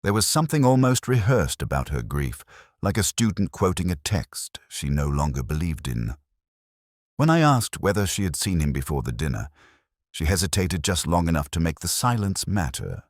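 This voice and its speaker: A very deep, solemn detective played by a male narrator recounts a mystery in measured, literary phrases. His pitch moves expressively within that low register, and the sound is close and dry. He comes across as brooding and cerebral. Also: big pitch swings, dry recording. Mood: pensive, probing. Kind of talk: scripted first-person mystery narration.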